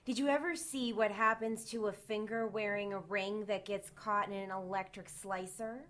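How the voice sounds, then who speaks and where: A woman's voice: mid-range voice, steady tone, a bit bright, lightly acted. Icy, defiant young woman played by an actress. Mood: cool, dry. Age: 20s. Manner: curt, sarcastic, measured. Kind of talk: audio drama acting.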